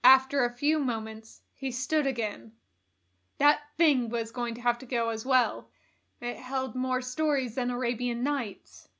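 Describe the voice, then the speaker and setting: A woman narrates a piece of fiction in a bright, forward voice. Her pitch sits a little high, and she reads in an expressive, prepared storytelling style. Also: unhurried. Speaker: audiobook reader.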